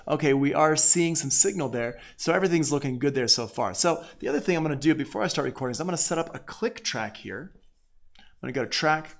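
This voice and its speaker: Casual male audio teacher with creaky voice. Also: a little high, a little hiss or hum. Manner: informal, thinking aloud as he works. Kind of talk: casual live online software tutorial.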